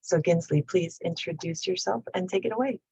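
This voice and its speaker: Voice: mid-range voice, fairly quick, vocal fry, darkish tone, husky, no room sound. A moderator hosting a webinar over a video call, introducing a guest in a friendly, upbeat way. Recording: dull lo-fi video call audio.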